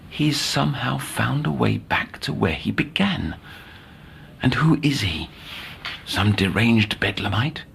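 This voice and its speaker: An actor playing an earnest, brooding middle-aged male doctor in an audio drama, speaking formally and haltingly in erudite language. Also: on the low side, creaky, fairly bright tone, a little hiss or hum, full character voice. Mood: brooding, intrigued. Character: earnest, scholarly, brooding male doctor haunted by past failures.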